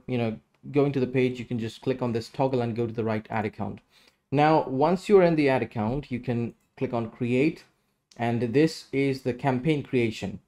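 A man's voice: mid-range voice, darkish tone. A man in his thirties giving a casual screen-share tutorial, explaining each step as he goes. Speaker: tutorial presenter.

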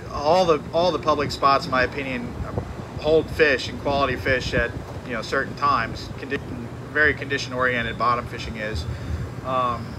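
A charter fishing captain giving a live seminar in a tackle shop, talking casually with many fillers and restarts. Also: male voice, mid-range voice, background noise.

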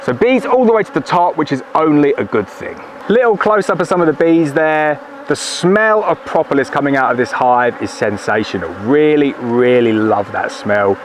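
A man's voice: a little high, expressive, moderate pace, few pauses, a little hiss or hum. A man in his thirties, a beekeeper, chatting upbeat as he works through a hive outside in the evening, recorded from a camera on a tripod. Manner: chatty, upbeat, talks while working with the hive. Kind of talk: casual hands-on video narration. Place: outdoor apiary at a forest edge, in the evening. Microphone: camera on a tripod at a distance, wearing a bee suit veil. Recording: faint outdoor bee buzz. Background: faint outdoor buzzing of bees.

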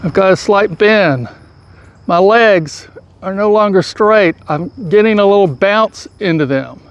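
High-voiced man giving calm golf instruction. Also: a little slow, lo-fi sound. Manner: calm, patient step-by-step instruction. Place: outdoors on a grassy field. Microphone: far camera, full-body framing. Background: crickets and insects outdoors.

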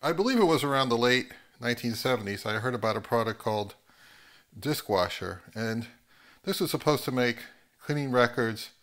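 A middle-aged man with a mid-pitched voice talks casually as a channel host. His delivery is measured and halting as he explains things at home. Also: animated, fairly bright tone. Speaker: host of an audio hobby channel. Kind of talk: casual explainer to camera with some narration. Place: home living room.

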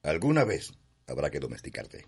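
An actor playing a stern, domineering colonial father in a radio drama, with a Cuban accent and a scolding, rough delivery. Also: extremely low pitch, wildly swooping pitch, normal speed, heavily acted. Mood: angry, threatening. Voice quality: husky, rough. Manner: scolding, accusatory.

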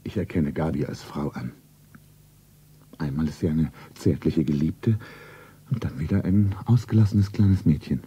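A man playing a wealthy lover in a stylised 1970s radio play, tender and solemn, with an intimate husky delivery. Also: extremely low pitch, normal speed, pauses often, mellow, heavily acted.

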